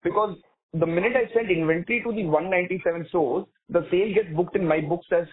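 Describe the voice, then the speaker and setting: The sound is a thin, narrow telephone conference line. On it, a man with an Indian accent and a fairly high-pitched voice answers questions as a company executive. He explains things step by step, often repeating and rephrasing himself. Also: fast, slightly rough. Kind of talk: earnings call question and answer. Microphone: telephone conference call. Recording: narrow tinny phone line.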